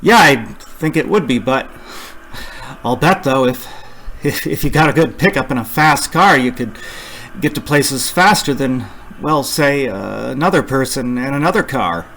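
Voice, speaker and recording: A man playing a haunted, increasingly frantic cross-country driver in a suspense radio play, intense and dramatic, halting when agitated. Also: medium pitch, animated, gravelly, a bit bright, slight background noise. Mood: nervous, awkward. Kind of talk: suspense radio play acting with first-person narration.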